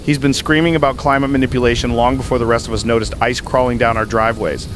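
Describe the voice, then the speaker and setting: A man's voice: medium pitch, steady tone, fast talker, natural voice. A man acting an edgy, sardonic talk show host in a radio drama, introducing and questioning dramatically. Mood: sardonic, intrigued. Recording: clear background noise.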